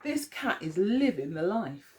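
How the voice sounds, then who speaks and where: A woman teacher reading a picture story aloud to young children, expressively, often pausing to put questions to them. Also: on the low side, sing-song, a little mellow, a little hiss or hum.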